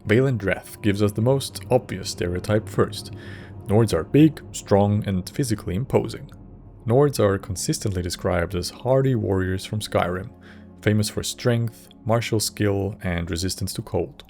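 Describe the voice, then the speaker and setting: Guy with a lowish voice hosting a scripted explainer, chatty asides, music underneath. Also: measured pace, a little mellow. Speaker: narrator and host of a video essay. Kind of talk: scripted narration with casual asides.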